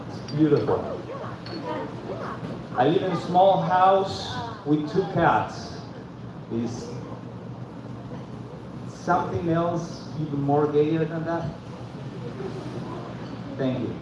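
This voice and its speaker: A man from Argentina, doing a deadpan, self-deprecating open-mic stand-up set and talking back to the audience. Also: a little high. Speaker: stand-up comedian. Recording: echoey room from far off, dull, noisy.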